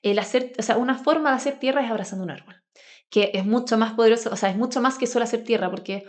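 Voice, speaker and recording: A woman's voice: a little high, fast talker. A Chilean woman talking conversationally as a podcast guest, telling personal stories in a colloquial way full of asides. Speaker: podcast guest, grounding advocate.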